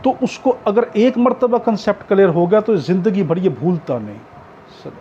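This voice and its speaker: Pakistani male tutor, high-pitched mellow voice, informal instructive lecture delivery. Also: sing-song, normal speed. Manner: instructive, repeats key points for emphasis. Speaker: law exam tutor. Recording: dull lo-fi audio with background noise.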